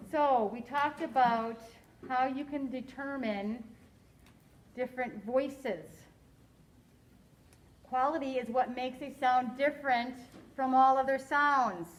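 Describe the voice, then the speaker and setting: A woman teaching a science lesson to a class, quizzing her students in a slow, deliberate way. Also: a little high, slight background noise.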